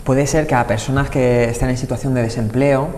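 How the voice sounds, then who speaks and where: A man's voice: mid-range voice, fairly even intonation, fairly quick, a little mellow, far from the mic. A man with a Spain Spanish accent interviewing a guest in a conversational way, putting questions as a presenter. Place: TV programme set, indoors. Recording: reverberant hall-like room, faint background noise.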